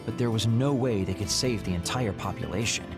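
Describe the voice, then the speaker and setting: A man playing a knowledgeable, measured character who explains things in a sci-fi anime game dub. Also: lowish voice, close to an everyday voice. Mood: sober. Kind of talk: English game dub acting, explanatory dialogue. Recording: music behind the voice.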